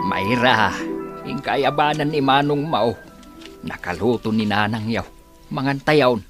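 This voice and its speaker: A man's voice: mid-range voice, measured pace, music in the background, natural voice. A man with an Ilocano accent playing a considerate father of grown children in an audio drama. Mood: caring.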